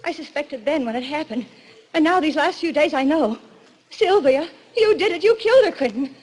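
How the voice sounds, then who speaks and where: A woman's voice: sits high, animated, moderate pace, a little mellow. An actress playing a frightened, haunted woman in breathless, stammering 1940s radio melodrama. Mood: terrified. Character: terrified young woman haunted by a killer. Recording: noisy vintage radio broadcast.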